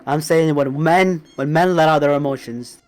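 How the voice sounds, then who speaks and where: A man's voice: on the high side, normal speed, slight background noise. A congested man with a blocked nose, arguing defensively in a heated online debate. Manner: defensive, argumentative, repeats "man". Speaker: debate guest.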